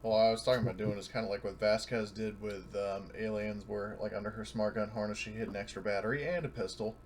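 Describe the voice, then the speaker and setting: Low-voiced man, casual, faint noise behind. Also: steady tone, fast talker. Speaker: player. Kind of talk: casual tabletop roleplay chat. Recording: faint background noise, room ambience.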